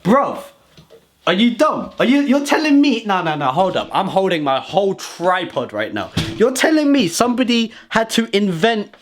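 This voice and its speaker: A young British man telling absurd comic stories to viewers in an animated, mock-serious, slangy way. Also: high voice, normal speed, soft background music. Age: young adult. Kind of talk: rambling comedic storytelling to viewers.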